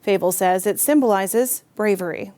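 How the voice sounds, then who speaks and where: A woman reading the news as anchor in a steady, formal way. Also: mid-range voice, moderate pace.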